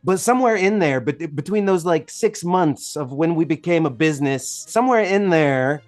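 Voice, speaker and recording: A man's voice: on the high side, animated, normal speed, darkish tone. Man hosting a podcast over music. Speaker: podcast host. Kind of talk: podcast intro and casual conversation. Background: music behind the voice.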